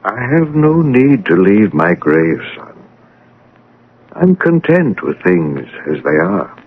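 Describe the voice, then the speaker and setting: Casting: older dead father, paternal and forgiving, husky with expressive swings, old radio drama. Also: sits low, slow, narrow, tinny audio, full character voice. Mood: calm, wounded. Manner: mild, patient, paternal. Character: gentle, apologetic dead father, older banker. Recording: band-limited radio drama recording.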